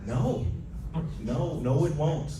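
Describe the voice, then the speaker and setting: Male panel host, informal and lively, distant and heavily reverberant, crowd audible. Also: fairly high pitch, slow. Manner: animated, informal. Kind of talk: casual panel discussion of movies. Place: large convention panel room. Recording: echoey hall, distant mic, crowd noise.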